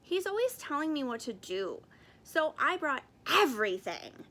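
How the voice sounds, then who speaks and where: A woman's voice: high-pitched, sing-song, moderate pace, bright, forceful tone, pitched above the performer's own voice, exaggerated voice. A woman reading aloud as an aggrieved, impulsive little boy with an emphatic, complaining manner. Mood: angry, complaining. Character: aggrieved, impulsive little boy sulking over his brother.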